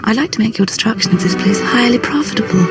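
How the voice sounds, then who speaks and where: A woman's voice: on the high side, expressive, fast, close to an everyday voice. A woman playing a bitter, opportunistic indentured scientist in a video game, wry and articulate. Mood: gleeful, scheming. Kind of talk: video game character acting. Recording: music underneath. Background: game music.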